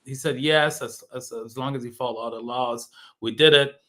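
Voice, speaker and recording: A man's voice: medium pitch, moderate pace. A man whose first language is Persian, hosting a casual interview and telling stories with frequent laughs and acted-out quotes. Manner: animated storyteller, laughs often, acts out quotes.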